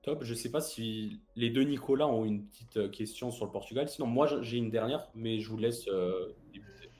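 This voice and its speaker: An Italian-French man moderating a casual football discussion, asking relaxed, filler-heavy questions. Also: mid-range voice, normal speed. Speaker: host and moderator.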